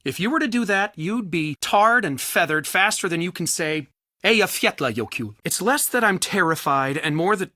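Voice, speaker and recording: A man's voice: sits high, expressive, normal speed, sharp tone, no room sound, studio quality. A man playing an eccentric, anxious, bookish museum curator in a video game, with a theatrical, wordy and wryly dramatic delivery. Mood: worried. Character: eccentric, bookish, anxious male culture center curator Soren.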